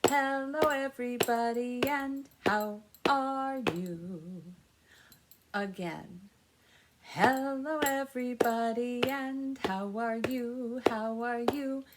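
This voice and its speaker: A woman with a fairly high voice leads a children's story time at a very unhurried pace. She reads aloud with deliberate emphasis on key words, explaining things simply and putting questions to her young listeners. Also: heavy background noise.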